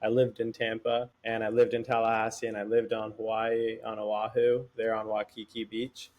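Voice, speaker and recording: A man from Miami, a guest on a casual podcast, telling stories about himself in a relaxed way. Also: fairly low pitch, normal speed, lo-fi sound.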